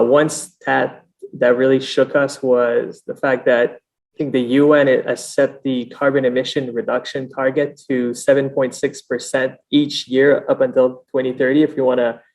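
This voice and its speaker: A French-Canadian man, a native French speaker, speaks as a startup founder being interviewed. His mid-pitched voice has plenty of vocal fry, and he talks casually with halting, hesitant phrasing. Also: level tone, a little mellow, low-quality recording. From Montreal, Canada. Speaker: podcast guest, startup founder.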